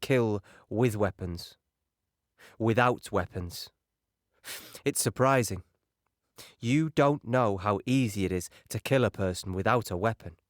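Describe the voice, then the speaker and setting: An actor playing an earnest, naive young British soldier, plain-spoken and a little hesitant, in an audio drama monologue. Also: male voice, fairly low pitch, unhurried, dry recording, studio quality, close to an everyday voice. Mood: troubled. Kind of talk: dramatic monologue recounting wartime memories.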